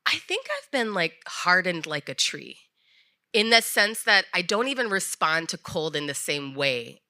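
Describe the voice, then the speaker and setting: A woman's voice: fairly low pitch, lively intonation, a little slow, pushed and bright. A woman co-hosting a live podcast taping, chatting and telling stories with frequent laughter. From not from Minnesota, grew up in a military family. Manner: laughs often, chatty storytelling with restarts. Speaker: podcast co-host and guest being interviewed. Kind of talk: live on-stage conversation. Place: live event stage before an audience.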